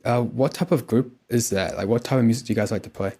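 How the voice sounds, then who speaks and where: A man hosting a podcast who introduces the show and asks the guest questions in a relaxed, conversational way. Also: medium pitch, quick pace, husky. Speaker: podcast host.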